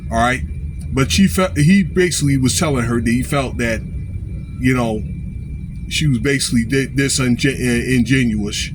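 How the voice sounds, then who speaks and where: A man giving informal, unscripted commentary in a halting way, often checking in with short tags like okay and all right. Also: medium pitch, background noise. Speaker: online commentator.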